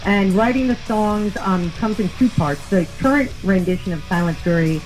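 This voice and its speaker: An upbeat woman chats as a radio guest, her words running on with plenty of ums and likes. Her pitch sits in the middle of the range. Faint music plays underneath her voice. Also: moderate pace, a little mellow, rough recording. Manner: upbeat, chatty, many fillers. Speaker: band's lead singer, guest. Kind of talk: casual radio interview.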